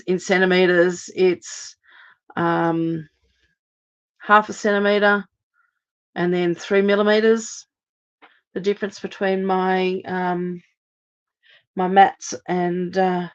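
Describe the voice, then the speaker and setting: A slightly hoarse woman from Australia talks through a live card-making lesson in a chatty, steady way, her low voice carrying a gravelly edge. The stream audio is a little dull and lo-fi. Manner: chatty, steady step-by-step instructions. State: hoarse after laryngitis, coughing. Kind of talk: live craft demonstration.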